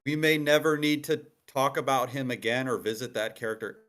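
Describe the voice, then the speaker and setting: A man's voice: medium pitch, brisk, a bit bright. A man in a casual workshop discussion, explaining his view by example and rephrasing as he goes. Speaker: participant in a screenwriting critique.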